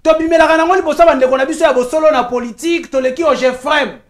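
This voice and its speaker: A woman's voice: high-pitched, rapid-fire. A woman journalist from Kinshasa with a Congolese French accent, arguing her case point by point as a guest on a talk show and switching into Lingala phrases. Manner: insistent, argues point by point, mixes in Lingala phrases. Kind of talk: heated talk-show debate.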